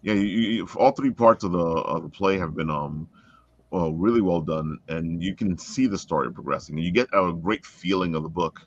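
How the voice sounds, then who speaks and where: A man chatting casually as an interviewer on a podcast, with a halting delivery that often repeats words. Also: low-pitched, dry recording. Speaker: interviewer and fellow writer. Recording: dull, close, lo-fi call audio.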